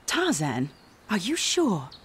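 An actress playing a gentle, trusting young woman in a video game, polite and earnest. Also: fairly low pitch, wildly swooping pitch, slow, husky, exaggerated voice. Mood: concerned. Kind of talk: video game cutscene acting.

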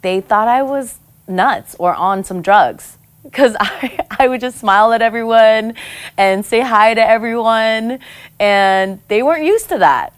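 A woman's voice: on the high side, animated, a little slow, smooth, no room sound. A woman in her thirties from American Samoa, chatting as a guest in a studio interview, bubbly and laughing often. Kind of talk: casual interview chat. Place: studio set on a couch, indoors.

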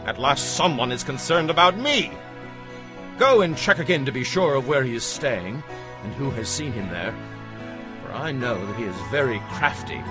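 A man's voice: medium pitch, lively intonation, normal speed, natural voice. An actor playing an obsessive, vengeful king in a dramatized audio Bible, commanding and intense. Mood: commanding, determined. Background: music.

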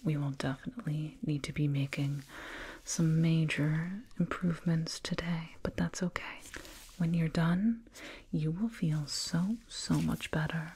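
A woman plays an alien dentist who whispers right up close in an ASMR roleplay. The voice is very breathy and low, drawn out at a slow pace. The manner is clinical yet doting, soothing a human patient with gentle condescension. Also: steady tone, exaggerated voice. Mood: pitying, curious. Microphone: binaural mic, up close.

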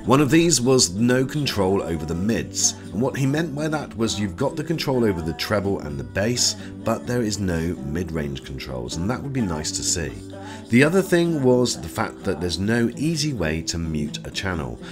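Low-voiced man reviewing gear, lively intonation. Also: normal speed, over music. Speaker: tech reviewer. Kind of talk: product review voice-over.

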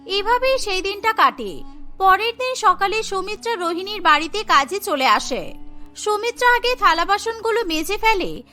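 A woman's voice: very high voice, lively intonation, normal speed, bright, forceful tone, soft background music. A woman reading scripted narration for a cartoon story.

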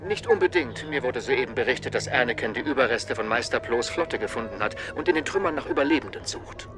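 Ein Mann spielt einen gelassenen, leicht ironischen Jedi-Meister in einem Zeichentrick-Hörspiel. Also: male voice, medium pitch, expressive, fast, lightly acted. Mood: wry, composed. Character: composed, wry male Jedi master. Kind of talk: German animated-series audio drama dubbing.

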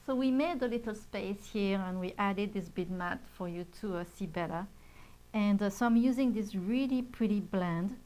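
A middle-aged woman with a voice of ordinary pitch, talking softly and patiently. She explains each beading step casually, with small pauses and fillers. Recorded cleanly on a lapel mic in a bright studio. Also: poor audio. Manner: soft-spoken, gently explains steps with fillers. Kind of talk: casual show-and-tell and beading tutorial. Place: bright studio set at a table.

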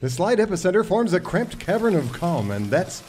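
An earnest cowboy hero, holds forth in a fairly high, highly animated voice. He is stately and wordy, a know-it-all leader in a mock-heroic old-time western radio parody. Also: normal speed. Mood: explaining proudly.

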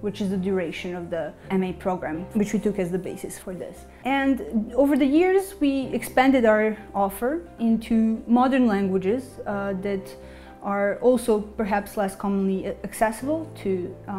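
A woman faculty member speaking to camera in an office, measured and listing items with pauses. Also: medium pitch. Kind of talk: interview to camera. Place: office with bookshelves. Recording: soft music behind.

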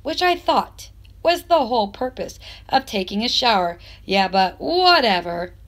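A woman reading a children's novel aloud in expressive narration, with measured phrasing and emphatic stresses. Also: on the high side. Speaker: teacher reading a book aloud.

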